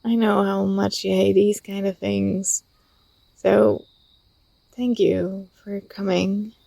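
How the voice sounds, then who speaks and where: A woman playing an affectionate, teasing young student talking to a close friend in a soft, intimate ASMR roleplay. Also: medium pitch, little pitch movement, slow pace, creaky, no echo, exaggerated voice. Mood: warm, apologetic. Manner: gentle, playful, coaxing.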